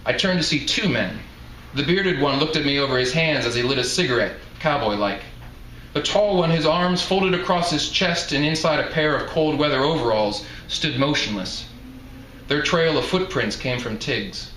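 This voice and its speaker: A man's voice: fairly high pitch, lively intonation, moderate pace, a bit bright. A man giving a live literary reading, narrating like an author presenting his own work. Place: indoor live reading event before an audience. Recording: roomy echoing live venue, some noise.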